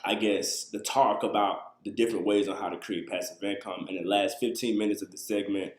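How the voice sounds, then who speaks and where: A man's voice: lowish voice, fairly even intonation, brisk, reverberant. A man in his thirties hosting a casual livestream, talking loosely and answering viewer questions from a small room on a webcam. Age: 30s. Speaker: livestream host. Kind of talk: casual live talk to viewers.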